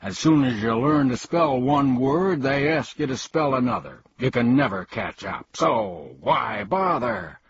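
Man reading as a boastful old humbug, big lively swoops, slow grand delivery, lo-fi audio. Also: medium pitch, slight background noise, pitched above the performer's own voice. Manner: bombastic, boastful declaiming. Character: pompous blustering old male humbug bug.

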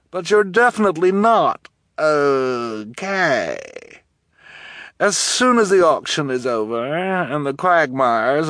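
A man's voice: mid-range voice, a bit bright, no room sound, studio quality, pitched above the performer's own voice. A man voicing a cruel, vain woman guardian in a gloating, mocking storybook villain manner. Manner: drawn-out, mocking, theatrical.

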